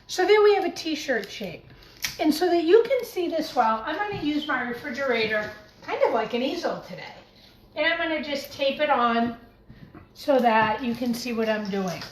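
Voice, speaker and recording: A woman teacher gently and encouragingly talking young children through a craft in simple words as she demonstrates it. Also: sits high. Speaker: primary school teacher leading a craft. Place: home kitchen, by the refrigerator. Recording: echoey room with faint background noise.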